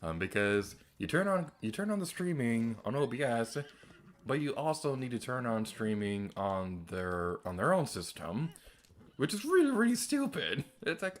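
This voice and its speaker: A man streaming a video game with a naturally quiet voice, chatting casually and a little self-deprecating as he plays. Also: medium pitch. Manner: soft-spoken, rambling and self-deprecating. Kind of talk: casual gameplay commentary.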